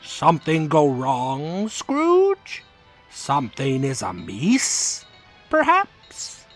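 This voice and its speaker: A man's voice: fairly high pitch, huge pitch swings, drawn-out words, soft background music, exaggerated voice. A man voicing a smug, needling cartoon rival in a comic dub, drawling mocking taunts. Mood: gloating.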